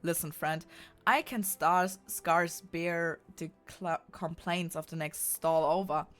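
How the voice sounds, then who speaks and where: A woman's voice: lowish voice, steady tone, takes it slowly, fairly bright tone, faint music behind. A woman with a German accent reading aloud the lines of a weary, dismissive merchant from a game.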